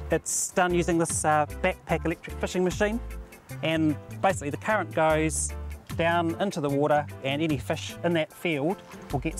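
A man with a New Zealand accent, a conservation field worker, explaining his work in a matter-of-fact way with some fillers while standing in a stream. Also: a little high. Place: outdoors in a shallow stream.

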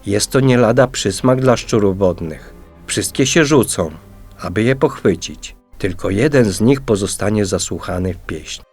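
A man playing a wise old chief water rat in a radio play, deliberate and cautioning. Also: lowish voice, darkish tone, breathy edge, soft background music. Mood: cautious, advising.

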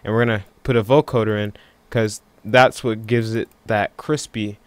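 A man giving a casual software tutorial in an offhand, unscripted way, thinking aloud as he works. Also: lowish voice, steady tone, normal speed, no room sound. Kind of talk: casual screen-recording tutorial.

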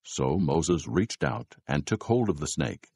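A man's voice: rumbling low, animated, measured pace, darkish tone, husky, dry recording. A man reading scripted narration as the narrator of a dramatized audio Bible.

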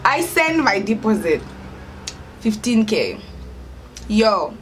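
A woman's voice: mid-range voice, very animated, takes it slowly, music in the background. A young woman with a Kenyan accent telling a story casually to camera, dramatic and acting out the dialogue as she goes. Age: young adult. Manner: dramatic, acts out dialogue, code-switches into Swahili. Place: indoors by an open doorway at home.

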